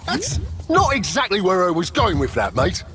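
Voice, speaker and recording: A man's voice: high voice, wildly swooping pitch, normal speed. A man voicing a feisty, earnest adventurer sidekick in a cartoon video game, given to colourful slang. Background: faint music.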